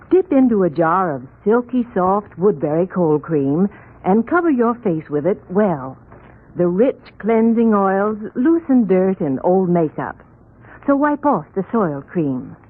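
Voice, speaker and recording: A woman announcer delivers a scripted commercial in 1940s radio style. Her mid-pitched voice is husky and animated, heard through a muffled, narrow old recording.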